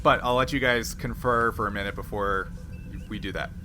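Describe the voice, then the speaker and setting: A man's voice: fairly low pitch, fairly quick, music in the background. A man acting as game master, narrating a tabletop game casually and checking in with the players. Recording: faint background noise.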